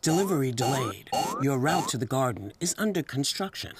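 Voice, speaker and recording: A man's voice: medium pitch, big pitch swings, a little fast, heavy background noise, close to an everyday voice. A man voicing a helpful, slightly put-out computer assistant in a children's show, speaking in a formal announcer-like way. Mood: announcing. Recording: music playing underneath.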